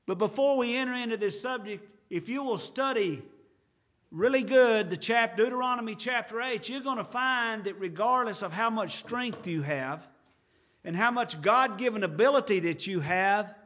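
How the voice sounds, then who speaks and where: A man's voice: high voice, normal speed, a bit bright. A man in his fifties preaching a sermon in an earnest, conversational way with folksy asides. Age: 50s. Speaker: pastor.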